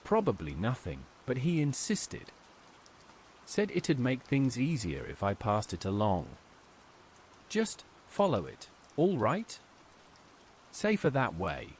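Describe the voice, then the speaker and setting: Guy doing a tired gas station boss, uneasy and brushing things off, pitch all over, light rain behind. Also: huge pitch swings, slow, long pauses, a little hiss or hum. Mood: nervously reassuring. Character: weary, uneasy male gas station owner. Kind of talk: horror story character dialogue.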